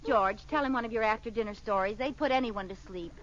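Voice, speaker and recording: An actress playing a scatterbrained, fretful housewife in an old radio sitcom. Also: female voice, fairly high pitch, fast talker, crowd noise, lightly acted. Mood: fond, coaxing. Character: scatterbrained, fretful housewife minding a neighbor's baby. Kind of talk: 1940s-50s radio sitcom acting. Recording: noisy, muffled old radio recording.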